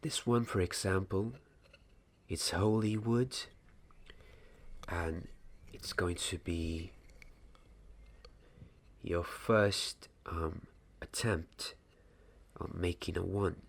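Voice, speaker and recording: A man in his twenties playing a patient, mysterious young wandmaker apprentice in a soft-spoken, deliberate ASMR roleplay. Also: low voice, fairly even intonation, heavily acted. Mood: hushed, instructive. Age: 20s. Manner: slow, hushed, deliberate, sometimes slipping into whisper.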